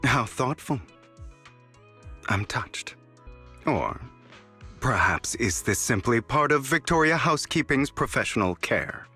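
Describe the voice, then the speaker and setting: A man's voice: mid-range voice, lively intonation, slow, pauses often, bright, forceful tone, slightly rough, full character voice. An actor playing a suave, theatrical young man in a video game, wry, resolute and elegant in his phrasing. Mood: weary, teasing. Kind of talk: dramatic video game voice acting. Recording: music behind the voice.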